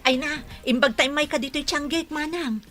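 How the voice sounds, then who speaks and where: A woman's voice: high-pitched, moderate pace, fairly bright tone, faint music behind. A woman playing a gossipy, insistent village mother with an Ilocano accent in a radio comedy drama. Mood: gossiping.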